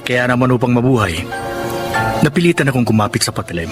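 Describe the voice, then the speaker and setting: A man's voice: medium pitch, expressive, fast, loud music behind the voice, lightly acted. A man with a Filipino accent playing a humble, hardworking young eatery owner in a radio drama. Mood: regretful, reflective. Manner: earnest, modest.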